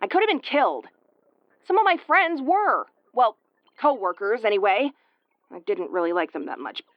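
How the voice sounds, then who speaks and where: A woman's voice: a little high, sing-song, normal speed, no echo, lo-fi sound. Woman acting a brusque tomboy starship commander, close and dry. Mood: flustered, angry. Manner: brusque, stammering when flustered. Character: tough tomboyish tsundere woman starship commander, prickly but caring. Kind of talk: intimate audio roleplay acting.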